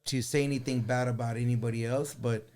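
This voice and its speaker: A male musician speaking as a guest in a casual conversation. His voice sits at an average pitch, and he makes his points in an earnest, emphatic way. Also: fairly even intonation, fast, darkish tone. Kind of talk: casual chat.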